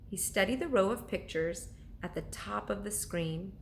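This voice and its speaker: A woman reading a children's story aloud like a teacher to pupils, with expressive, deliberate narration. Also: medium pitch, a little hiss or hum.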